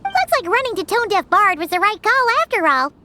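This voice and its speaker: A woman voicing a tiny, excitable and blunt female companion creature in a game. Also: extremely high pitch, brisk, slight background noise, full character voice. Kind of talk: game voice acting. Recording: game audio with music behind.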